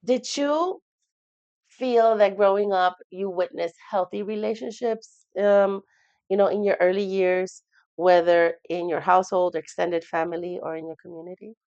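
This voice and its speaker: A woman's voice: mid-range voice, fairly even intonation, moderate pace, darkish tone, no room sound, highs cut off. A woman in her twenties hosting a podcast, asking questions casually and affirming her guest's answers. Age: 20s. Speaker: podcast host.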